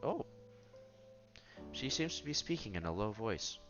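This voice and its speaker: A man's voice: lowish voice, sing-song, a little slow, a bit bright, muffled recording, soft background music. A man reading visual novel narration aloud as a streamer, expressively, with casual asides and exclamations.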